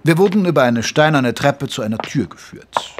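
A man playing a gentlemanly English doctor who narrates his memoir in a measured, literary way in an audio drama. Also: a little high, animated, slight background noise, close to an everyday voice. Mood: composed narration.

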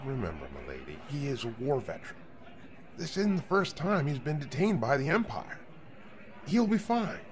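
A man acts as a rebel starship captain in a space audio drama. He is respectful and dutiful with a dry, grim edge, and his mid-pitched voice moves in lively swings. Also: moderate pace, natural voice. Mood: reassuring. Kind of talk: science fiction audio drama acting.

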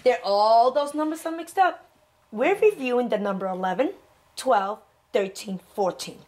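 A middle-aged woman teaching preschoolers in a classroom, talking young children through each step in an encouraging, animated way. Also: a little high, normal speed. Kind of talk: children's educational demonstration. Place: indoor classroom.

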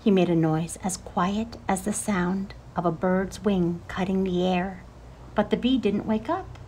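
A middle-aged woman reading a children's picture book expressively, with drawn-out, dramatic phrasing. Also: medium pitch, darkish tone, a little hiss or hum, rough recording. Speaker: storyteller. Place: outdoors on a paved porch.

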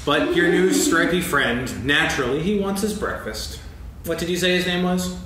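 A man's voice: on the high side, normal speed, a bit bright, close to an everyday voice. A man reading the part of a gloomy, sarcastic old donkey in a staged reading of a children's play, with a dry, mournful delivery. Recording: echoey stage, distant mic, background noise.

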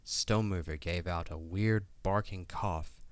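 Deep-voiced male story narrator. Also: unhurried, no room sound. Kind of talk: scripted storybook narration of an audio drama. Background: faint music.

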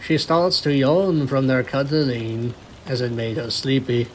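A man's voice: medium pitch, fairly even intonation, measured pace, slight background noise, poor audio. A man reading scripted story narration as the narrator of a fan fiction tale.

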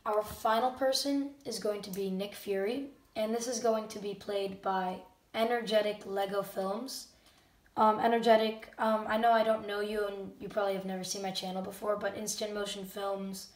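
A channel host talking casually and unscripted to viewers at home, a bit halting and often self-correcting. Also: mid-range voice. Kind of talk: casual unscripted talk to camera audience. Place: indoor room at home. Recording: roomy, distant microphone.

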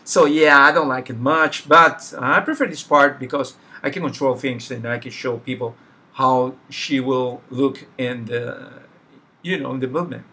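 Middle-aged guy with a spanish accent, sketching on a stream, stops a lot to find his words, bright voice. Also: medium pitch, fairly even intonation. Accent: Spanish-speaking. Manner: halting, searching for words, many fillers. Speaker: artist streaming a drawing session. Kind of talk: casual live-stream commentary while sketching. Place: small home room, indoors.

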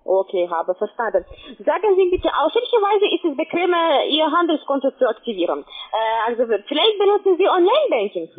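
A woman who is a non-native speaker giving a halting, pressing phone sales pitch full of questions over a narrow phone connection. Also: sing-song. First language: not German. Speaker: phone sales agent, account manager. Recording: narrow tinny phone line.

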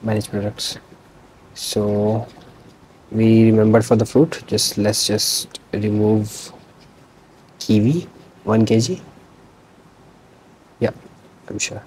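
A man's voice: on the low side, fairly even intonation, takes it slowly, long pauses, darkish tone, a little hiss or hum, poor audio. A young man casually walking through a website demo from his room, talking loosely with restarts. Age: young adult. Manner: loose, unscripted, with fillers and restarts. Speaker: student presenting a project. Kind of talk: casual screen-recorded demo walkthrough. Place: small indoor room.

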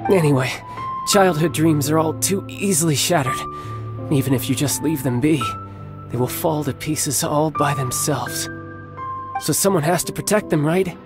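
A young man voicing a confident, teasing warrior who is wounded yet calm and protective of his younger brother. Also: a little high, lightly acted. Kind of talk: game voice acting.

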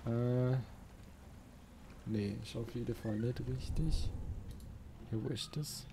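A man's voice: mid-range voice, takes it slowly, background noise. A man giving casual gameplay commentary, musing informally to himself and his viewers as a let's play host.

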